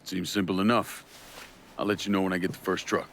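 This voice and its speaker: A man voicing a calm, hard war veteran in a video game, speaking in terse, laconic lines. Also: low voice, a little fast, creaky, a little hiss or hum. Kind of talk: video game cutscene acting.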